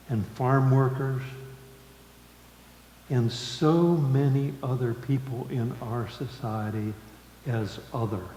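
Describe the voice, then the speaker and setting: A man leading worship in a solemn sermon style, speaking deliberately in short phrases with long pauses. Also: mid-range voice, darkish tone. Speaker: worship leader. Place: large congregation hall. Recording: echoey reverberant hall with background noise.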